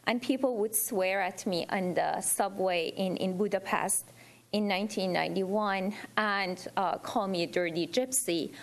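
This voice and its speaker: Woman, from Iran, mid-pitched voice, earnest, emphatic, forum audience speaker, repetition for stress. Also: measured pace. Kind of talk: impromptu public remarks to a panel.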